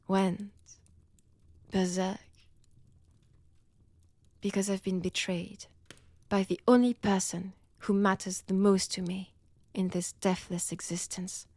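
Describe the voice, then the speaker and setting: A woman's voice: medium pitch, darkish tone, no echo. A woman with a French accent playing a centuries-old vampire, wounded and obsessive, in an intimate roleplay audio, often dropping into whispers. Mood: anguished, betrayed. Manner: slow, deliberate, theatrical with long pauses.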